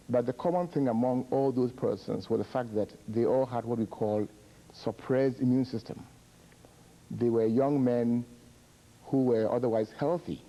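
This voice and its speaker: A middle-aged man from Ghana, directing a public health project, explaining a disease's history in measured, halting answers with repeated words and fillers. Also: mid-range voice, warm tone, rough recording.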